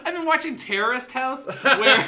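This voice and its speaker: A man hosting a casual podcast chat, animated and joking, laughing often. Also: very high-pitched, slow, slight background noise. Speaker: podcast host.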